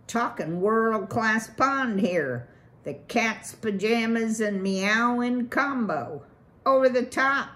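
A woman reading a storybook, voicing a brash, fast-talking male beaver boss with slangy salesman patter. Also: mid-range voice, a bit bright, pitched above the performer's own voice.

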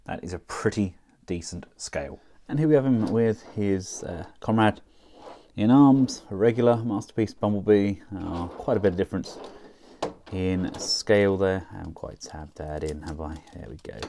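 A man talking casually through a toy review, chatty and relaxed with frequent fillers as he works the figure with his hands. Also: low-pitched, normal speed, slight background noise. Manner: relaxed, chatty, thinking aloud with many fillers.

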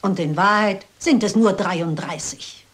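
A woman's voice: medium pitch, measured pace, close to an everyday voice. An actress playing a shrewd elderly lady detective in a German crime drama, speaking precisely and with polite insistence. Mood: assured, explaining. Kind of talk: German dubbed crime film acting.

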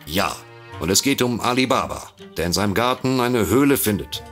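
An actor playing a droll, self-satisfied writer and sidekick in a comedic audio drama, teasing with drawn-out emphasis. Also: male voice, fairly low pitch, expressive, fairly bright tone, soft background music. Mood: flattered.

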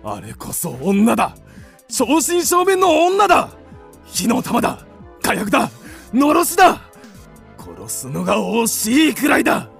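A man's voice: high-pitched, huge pitch swings, normal speed, creaky, few pauses, soft background music, exaggerated voice. A man playing a blustering, hot-headed creditor given to impulsive declarations in a theatrical radio drama. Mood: excited admiration.

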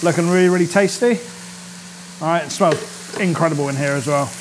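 Chatty chef, sizzling pan behind. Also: male voice, a little high, brisk, noisy background. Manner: chatty and upbeat, keeps checking in with "all right?". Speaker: chef and cooking show host. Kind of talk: casual cooking demonstration. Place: indoor studio kitchen set. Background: sizzling and frying from the pan.

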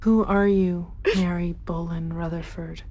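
A woman playing a solemn, knowing figure in an animated film drama, speaking in a measured, poetic way. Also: on the low side. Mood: grave, compassionate. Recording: loud music behind the voice. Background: music.

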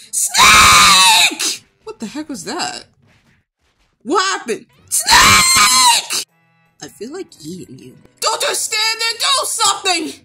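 A woman dubbing an excitable, exasperated cartoon cat in a dramatic, exclamatory fan-dub style. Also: extremely high pitch, slow pace, vocal fry, raised voice, over music.

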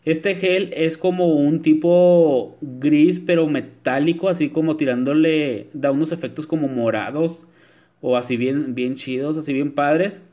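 A man's voice: fairly high pitch, unhurried, dark tone. A man narrating a nail tutorial in a chatty voice-over, with frequent filler words. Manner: chatty, many filler "este", addresses viewers as "chicas". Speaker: nail technician.

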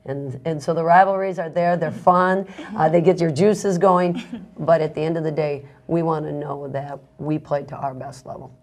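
A middle-aged woman coach answering interview questions in a studio, measured, often repeating words. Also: deep voice, steady tone, a little mellow. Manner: measured, repeats words when making a point. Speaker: basketball coach. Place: small TV studio, indoors. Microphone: lapel mic.

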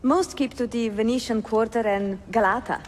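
An actress playing a gracious, spirited young Italian bookseller, with an Italian accent and polite, welcoming manner in a video game. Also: female voice, fairly high pitch, steady tone, moderate pace, big-room sound, slight background noise, close to an everyday voice. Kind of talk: cinematic video game acting.

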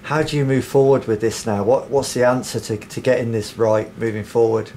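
A male club interviewer puts steady, slightly hesitant questions to the manager. A faint room hum sits under his voice. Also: medium pitch. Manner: measured, hesitant questions with fillers. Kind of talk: post-match interview questions.

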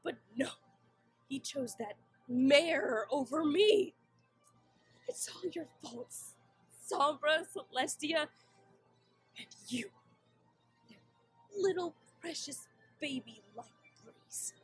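A woman's voice: high voice, animated, slow, pauses often, soft background music, poor audio. A woman voicing a jealous, vengeful villainous pony mare in a cartoon, theatrical and taunting. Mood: bitter, vengeful. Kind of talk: fan animation voice acting.

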